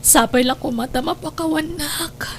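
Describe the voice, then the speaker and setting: A high, plaintive female voice acted by a woman plays a remorseful young wife. She implores in melodramatic style, over faint background noise. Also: moderate pace, fairly bright tone. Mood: tender apology. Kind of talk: melodramatic audio drama acting.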